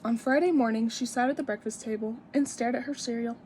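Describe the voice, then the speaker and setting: High-voiced young woman reading to kids, noisy recording. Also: fairly even intonation, fast. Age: 20s. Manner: emphatic, teacherly, addressing small children. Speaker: student teacher reading a picture book aloud. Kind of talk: expressive children's read-aloud with asides to young listeners.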